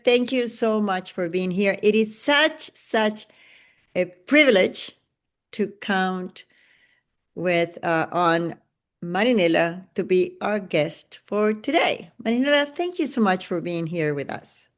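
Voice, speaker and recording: A woman's voice: medium pitch, lively intonation, slow pace. Gracious woman podcast host. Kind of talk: podcast intro and interviewing.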